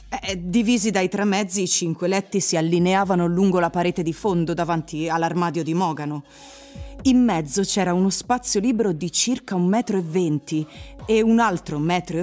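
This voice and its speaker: A woman's voice: fairly low pitch, darkish tone. A woman reading scripted story narration for a radio drama. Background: music.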